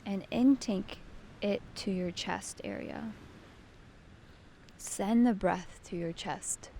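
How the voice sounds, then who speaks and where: A woman leading a guided meditation with gentle, soothing, unhurried instruction. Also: medium pitch, creaky, slight background noise.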